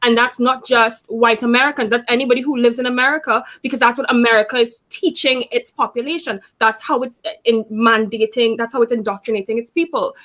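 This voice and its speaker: A woman's voice: on the high side, a bit bright. A woman in a casual book club chat who argues her point in long, forthright runs. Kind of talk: casual discussion.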